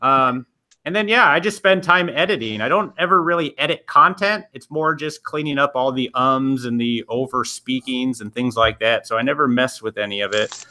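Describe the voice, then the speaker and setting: Man, podcast host on a video call, bright forceful voice, rambling and self-deprecating. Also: mid-range voice, moderate pace. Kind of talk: casual interview chat.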